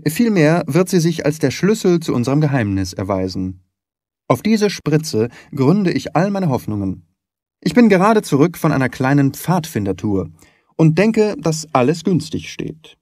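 A man voicing a shrewd, confident, courteous gentleman detective in an audiobook, formal, articulate and lightly patronising. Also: a little high, sing-song, normal speed, dry recording, studio quality. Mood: confident, reassuring. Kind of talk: audiobook character reading.

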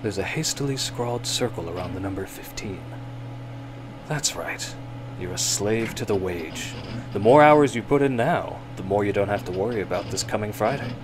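A man narrating a suspense radio drama in the second person, with measured, hushed storytelling. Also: on the low side, expressive, over music, natural voice, low-quality recording.

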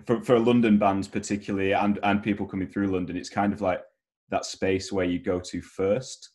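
A young man with a fairly low voice hosts a casual interview. He speaks informally and a little haltingly, on a headset over a video call. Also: little pitch movement, a little mellow. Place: home room, video call.

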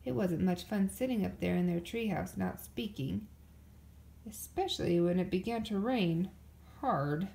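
A woman reading a children's picture book aloud as an expressive storyteller. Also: lowish voice, measured pace, gravelly, a little hiss or hum. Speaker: storybook reader.

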